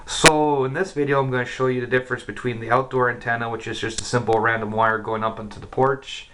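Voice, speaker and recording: Male hobby vlogger, informal and thinking aloud, mid-pitched voice, clear radio hiss on a cheap camera mic. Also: fairly even intonation, quick pace, a bit bright. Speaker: amateur shortwave radio hobbyist and presenter. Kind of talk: casual hands-on demonstration vlog. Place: indoor room with radio equipment. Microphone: camera's built-in mic. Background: shortwave radio hiss and clicks.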